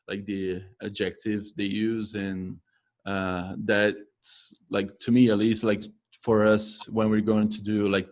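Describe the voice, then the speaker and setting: A man with a Portuguese accent, a non-native English speaker, asking interview questions haltingly with many fillers. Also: on the low side.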